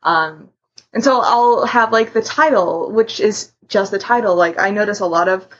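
A woman in casual podcast conversation, explaining her method step by step in a halting way. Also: medium pitch, fairly bright tone. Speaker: podcast panelist. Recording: muffled lo-fi voice recording.

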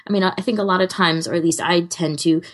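A woman's voice: fairly low pitch, steady tone, fast. A woman co-hosting a podcast, chatting casually and informally. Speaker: podcast co-host.

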